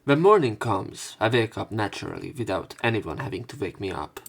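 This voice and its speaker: Low, gravelly man narrating a game. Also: lively intonation, a little fast. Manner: rambling, hesitant, conversational asides. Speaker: visual novel let's player and narrator. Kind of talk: casual let's play commentary and story read-aloud.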